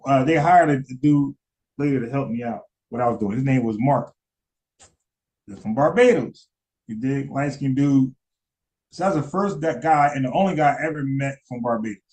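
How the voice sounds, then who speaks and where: A man's voice: medium pitch, darkish tone, low-quality recording. A man giving casual live-stream commentary, rambling, repeating words and asking his chat questions. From United States.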